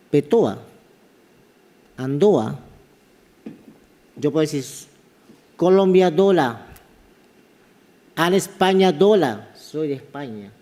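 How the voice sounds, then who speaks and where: A man from Panama with a Kuna accent, an anthropology professor giving a halting conference talk that often repeats words. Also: a little high, warm tone. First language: Kuna (Guna). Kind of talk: conference presentation. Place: large conference hall. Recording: reverberant conference hall.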